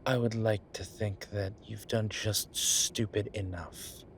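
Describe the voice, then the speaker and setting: A man playing a wry, laid-back incubus demon professor in a roleplay audio drama, talking with breathy pauses and trailing sentences. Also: lowish voice, fairly even intonation, a little hiss or hum. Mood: amused, teasing. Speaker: voice actor. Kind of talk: roleplay audio drama, one side of a conversation.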